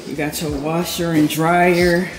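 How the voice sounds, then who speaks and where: A woman's voice: flat delivery, unhurried. A woman vlogger giving a chatty, upbeat tour of her new apartment, filming herself with a handheld camera. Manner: chatty, upbeat, says "boom" and "period" a lot. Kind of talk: casual apartment tour vlog. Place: empty apartment, indoors. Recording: echoey empty room with music behind. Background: background music.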